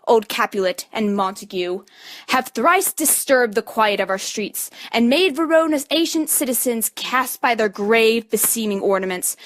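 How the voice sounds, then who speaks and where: Imperious, furious prince played by a woman, high declamatory delivery, Shakespearean stage verse. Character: outraged commanding male prince rebuking brawlers. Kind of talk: amateur Shakespeare dramatic reading.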